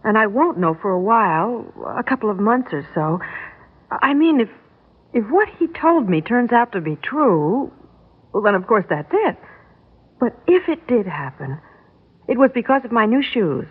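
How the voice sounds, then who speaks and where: A woman's voice: a little high, very animated, moderate pace, husky. An actress playing a chatty, easily exasperated young New York woman in a radio drama, baffled and sarcastic in a conversational way. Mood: musing. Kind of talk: 1960s radio drama acting. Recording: muffled narrow-band old radio recording.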